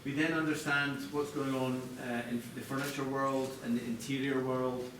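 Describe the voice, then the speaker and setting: A man presenting product design live to a group, explaining in a halting way with corporate phrasing. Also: medium pitch, little pitch movement. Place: large echoey indoor venue, apparently a hotel. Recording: echoey noisy room, distant mic.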